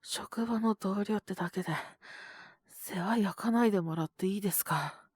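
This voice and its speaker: A woman's voice: medium pitch, moderate pace, a little mellow, husky, no echo, studio quality, natural voice. A woman voicing a sick, tired young male teacher in an audio drama, brusque and sighing, with a weary mutter. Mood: curt, weary. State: feverish, coughing. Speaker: voice actor. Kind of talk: Japanese situation-voice audio drama.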